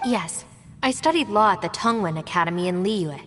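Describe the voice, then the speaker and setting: A woman voicing a calm, capable young female gang member in a video game, composed and matter-of-fact. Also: mid-range voice, brisk, a little hiss or hum, lightly acted. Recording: game audio with long reverb.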